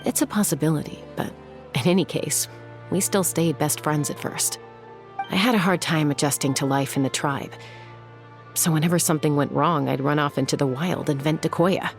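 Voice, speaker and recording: An actress playing a calm, reflective young woman warrior in a video game, talking in a soft, measured way. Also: deep voice, faint music behind.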